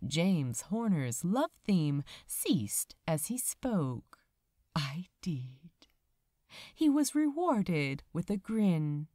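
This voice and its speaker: A woman reading fiction aloud as an audiobook narrator, dramatically, with lingering phrases and pauses. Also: lowish voice, big pitch swings, dark tone, no room sound, studio quality. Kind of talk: expressive scripted fiction narration.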